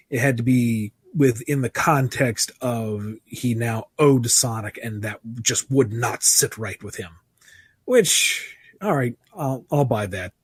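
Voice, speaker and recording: A man talking casually and reflectively as a writer answering questions, thinking aloud in a halting way. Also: medium pitch, breathy edge, dry recording.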